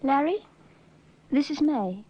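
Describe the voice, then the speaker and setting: An actress playing a wary young artist's model, measured and reluctant, in a 1950s radio drama. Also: female voice, high voice, lively intonation, noisy background. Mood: reproachful. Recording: dull old radio recording.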